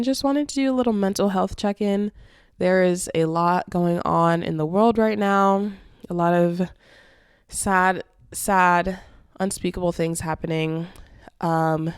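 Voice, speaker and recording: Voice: medium pitch, creaky, smooth, no room sound, clean studio sound. A young adult podcast host talking alone in a casual, reflective way, a bit congested and nasal, with lots of "like" and "you know". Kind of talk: solo podcast monologue.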